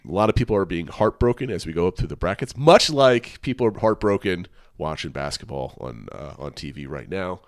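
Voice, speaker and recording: Stuffed-up nasal guy hosting a pod from home, lowish voice that bounces around a lot. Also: clean tone. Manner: lively, upbeat greetings. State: nasal and congested from a cold. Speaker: podcast co-host. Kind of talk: casual podcast chat. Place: small room at home. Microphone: headphones and desk mic on a video call.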